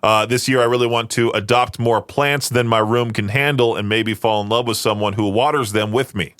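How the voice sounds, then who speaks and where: A man hosts a casual radio hour, joking as he reads things out. His voice sits at an ordinary pitch, and the studio mic is close and dry, with no room sound at all. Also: steady tone, moderate pace, fairly bright tone, no room sound. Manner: joking, reads listener prompts aloud. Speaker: radio host.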